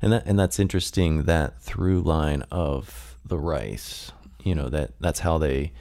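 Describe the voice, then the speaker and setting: Very deep, creaky-voiced woman host. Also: unhurried, mellow. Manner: affirming, with short backchannels. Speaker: interview host. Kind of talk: casual interview chat.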